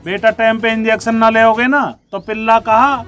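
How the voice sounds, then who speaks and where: A man with a rather high, raised voice, loud and scolding as a gym owner in a comic sketch. His lines are rough and joking. Music plays clearly under him. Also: little pitch movement, normal speed. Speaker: gym owner selling injections. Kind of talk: comic sketch in village dialect.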